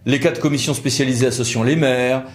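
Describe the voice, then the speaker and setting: A man's voice: medium pitch, steady tone, fast. A man presenting a legal news review, explaining points in an emphatic, didactic way with conversational asides. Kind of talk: brisk explanatory commentary with interview questions.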